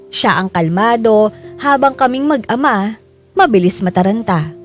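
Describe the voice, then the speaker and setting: A woman's voice: medium pitch, natural voice. A woman playing a humble, grateful, hopeful parent of a poor family, narrating reflectively in the first person for a radio drama. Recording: music bed under the voice.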